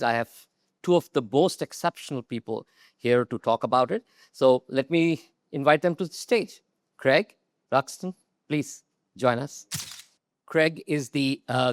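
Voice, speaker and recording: A man's voice: medium pitch, no room sound, studio quality. A man moderating a conference panel, welcoming the audience and introducing the guests. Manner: friendly, welcoming. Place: conference stage.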